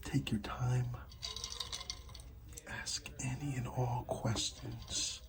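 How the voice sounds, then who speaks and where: A man speaking softly in an ASMR roleplay as an art gallery dealer, pausing mid-phrase and often slipping into a whisper. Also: mid-range voice, flat delivery, recorded from a distance. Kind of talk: soft-spoken ASMR roleplay, partly whispered.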